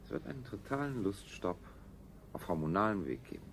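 A man's voice: on the low side, expressive, fairly quick, a little hiss or hum. An actor playing a calculating, authoritarian scientist king in a radio play, officious and self-assured. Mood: decreeing. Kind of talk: 1970s German radio play acting.

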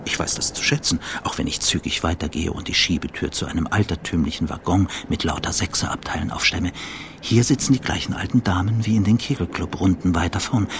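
A man's voice: deep voice, noisy background. An actor playing a self-absorbed, sardonic media journalist who narrates his thoughts in a wry, wordy way in a radio play. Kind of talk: radio play interior monologue.

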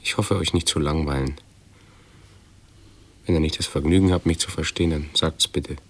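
Fussy, gravelly low-voiced hypochondriac man. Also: steady tone, a little hiss or hum. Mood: pedantic, halting. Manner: halting, self-correcting, pedantic phrasing. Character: fussy hypochondriac man, pedantic and easily rattled. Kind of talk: radio play acting.

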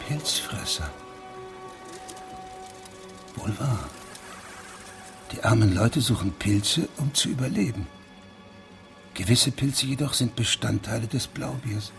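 An actor playing a weary, evasive judge in a German radio drama, speaking in a measured, restrained way. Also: male voice, mid-range voice. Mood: measured, defensive. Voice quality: gravelly. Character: weary, evasive male judge leaving a village. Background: music.

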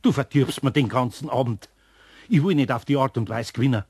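A man playing an exasperated older master craftsman with a husky voice and a Bavarian accent in a radio play. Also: mid-range voice, brisk, a little mellow, natural voice. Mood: scolding. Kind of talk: German radio play acting.

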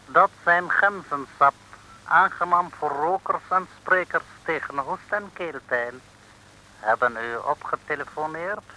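Sly gloating courtier, slow high singsong pidgin, mock polite, old radio comedy. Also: male voice, sharp tone, a little hiss or hum, low-quality recording. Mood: smug, polite. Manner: singsong broken speech, sly formal politeness. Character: sly, gloating male Eastern courtier, caricatured, with pidgin speech. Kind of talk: 1960s radio comedy serial acting.

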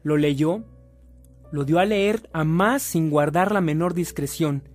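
A male narrator telling a religious radio drama solemnly. His voice sits a little high, and music runs softly beneath it. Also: takes it slowly. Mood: storytelling.

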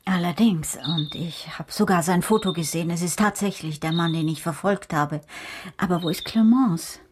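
Worried, insistent woman, acted, fairly low voice, radio crime drama with noticeable noise. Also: moderate pace, natural voice. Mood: worried, urgent. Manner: anxious, insistent. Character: worried, insistent female witness. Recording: clearly noisy, with clicks.

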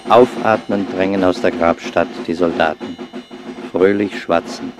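An actor as the sober, detached narrator of a radio play, reading literary text in a measured, grave manner. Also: male voice, low-pitched, steady tone, over music.

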